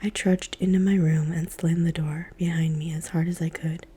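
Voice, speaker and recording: A woman whispers a children's story, slightly low and very dark in tone. The whisper is airy and breathy throughout, gentle and hushed from line to line. She reads in a calm, quiet storytelling manner. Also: moderate pace. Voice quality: soft unvoiced whisper. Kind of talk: whispered ASMR story reading.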